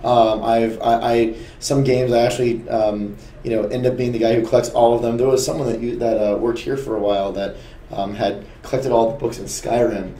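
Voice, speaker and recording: A man with a gravelly, injured voice talks through questions candidly on a game developer stream, restarting his sentences now and then. His tone is dark and mellow. The room echoes, with faint noise behind him. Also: medium pitch, little pitch movement, a little fast. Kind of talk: casual dev stream chat.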